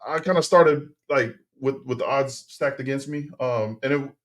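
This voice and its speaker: A middle-aged man whose first tongue is Tongan speaks at an ordinary mid pitch. He talks like a relaxed podcast guest who circles back and restarts sentences. The tone stays easy and conversational. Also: normal speed. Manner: relaxed, rambling, many fillers and restarts. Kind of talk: casual interview storytelling.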